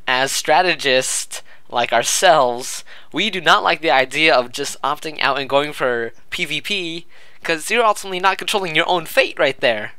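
A man's voice: a little high, moderate pace, pushed and bright, clean tone, dry recording. A man co-hosting a casual podcast, talkative and emphatic, drawing out his show intro. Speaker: podcast co-host.

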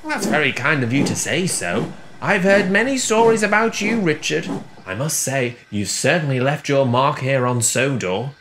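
A man's voice: mid-range voice, lively intonation, normal speed, fairly bright tone, slight background noise, voice pushed up, natural voice. A man voicing a cheerful, kindly little tank engine in a children's story, chirpy, polite and encouraging. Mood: friendly.

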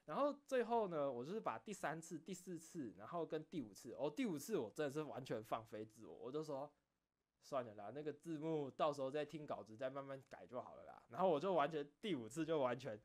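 Animated, rambling man in casual chat. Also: fairly high pitch, fairly quick. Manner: rambling, repeats phrases for emphasis. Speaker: online streamer and game content creator. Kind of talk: casual late-night chat, personal storytelling.

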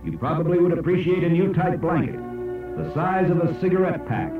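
A man reading scripted educational narration in an emphatic, oratorical way with dramatic pauses. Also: a little high, recorded from a distance. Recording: echoey, dull old cassette played aloud, music. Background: music.

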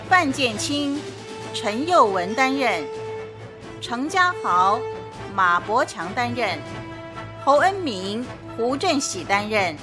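Slow, melodic woman announcer. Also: fairly high pitch. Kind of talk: scripted radio drama credits.

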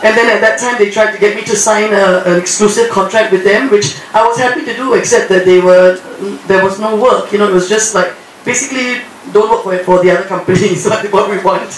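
A woman's voice: mid-range voice, fairly even intonation, a little fast, a little hiss or hum. An older woman, an actress on a panel, telling a wry anecdote with a chuckle in her voice. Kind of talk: post-screening Q&A anecdote. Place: screening room, seated. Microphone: handheld mic. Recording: very echoey room.

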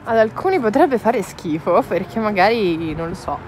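A woman in her twenties chatting excitedly as she vlogs outdoors on city streets, holding her phone at arm's length. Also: mid-range voice, moderate pace, soft background music. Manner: chatty, excited, mixes English words. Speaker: vlogger. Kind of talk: casual travel vlog. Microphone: phone held at arm's length.

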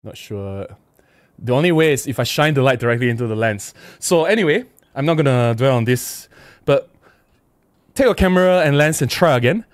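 Middle-aged male livestream host, Malaysian accent, conversational and emphatic, medium pitch, close dry mic. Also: animated, normal speed, no room sound. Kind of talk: casual livestream chat, reading out viewer comments and replying. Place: small indoor room at a desk. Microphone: close desk microphone with windscreen.

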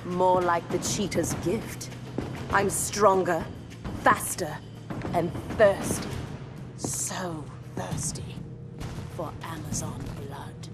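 A woman's voice: on the low side, steady tone, takes it slowly, pauses often. An actress voicing a feral, bloodthirsty cheetah woman in a video game, purring her menace like a predator. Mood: predatory gloating. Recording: loud music behind the voice.

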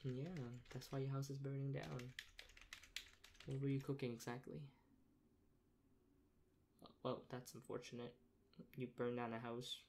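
A young man with a mid-pitched voice plays a rude dispatcher in an ASMR role play. He is soft-spoken, sarcastic and dismissive, with a deadpan delivery. The recording has clear background noise and keyboard clicks. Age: young adult. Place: small plain room indoors.

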